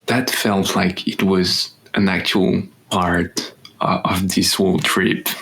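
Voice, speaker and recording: A young man from France co-hosts a casual podcast in English with a French accent. He speaks in a low voice at an unhurried pace, pausing and restarting as he searches for words. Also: flat delivery, a bit bright, rough recording. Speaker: podcast co-host. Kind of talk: casual chat.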